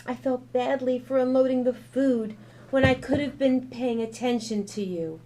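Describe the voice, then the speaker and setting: A woman's voice: sits high, moderate pace, mellow, voice pushed up, natural voice, rough recording. A woman voicing a tender, apologetic mom. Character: gentle loving young mother.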